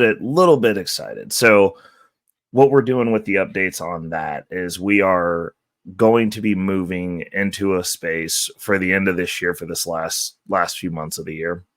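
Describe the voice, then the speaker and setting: A man hosting a casual vlog, hoarse from losing his voice, talking in an upbeat, loose, rambling way. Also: sits low, fairly bright tone, dry recording, clean studio sound. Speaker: channel host. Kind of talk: casual market-update vlog.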